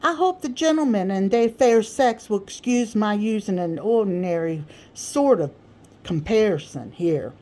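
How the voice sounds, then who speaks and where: A woman's voice: medium pitch, animated, a little slow, dark tone, close to an everyday voice. A pompous, boastful male orator from a storybook, acted out by a woman reader. He speaks in folksy dialect and leans hard on his grand words, holding forth as if to a crowd of admirers. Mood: pompous, explaining. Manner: grandiloquent, emphatic, folksy dialect speech with mangled big words.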